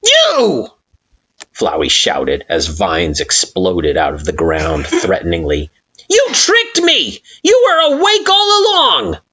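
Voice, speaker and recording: A woman voicing an angry, sarcastic male flower monster with a shrill, sharp-tongued delivery. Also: steady tone, a little slow, hardly any pauses, slight background noise. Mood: furious. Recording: dull lo-fi recording.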